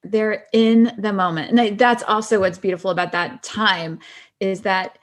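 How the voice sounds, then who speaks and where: A woman's voice: medium pitch, normal speed. A woman speaking as a podcast guest in casual chat, earnest and agreeable, repeating phrases for emphasis.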